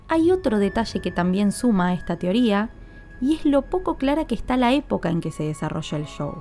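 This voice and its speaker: A woman podcast host with a fairly high but very soft, mellow tone narrates a scripted story. She explains things in a measured way, leaning on her opening words, over light background music.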